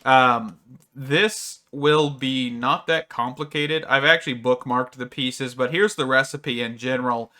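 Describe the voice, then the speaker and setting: Man, gaming commentator, bright clear tone, casual rambling narration over gameplay, slightly worn voice, desk mic. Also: mid-range voice, unhurried. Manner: rambling, thinks aloud with many fillers. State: voice a little off from strain. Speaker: gaming YouTuber. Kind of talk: casual let's-play commentary.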